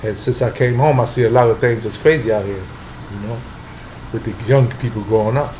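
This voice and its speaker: A man's voice: mid-range voice, fairly quick, darkish tone, slight background noise, rough recording. A man from the Bronx with a New York accent, speaking bluntly and casually as the guest in an interview at home. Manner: blunt, street-talking, emphatic.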